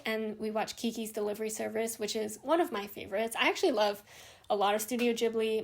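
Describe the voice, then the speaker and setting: A young woman from Hawaii hosting a knitting vlog, chatting casually and rambling with many fillers and restarts. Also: fairly high pitch. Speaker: knitting podcast host.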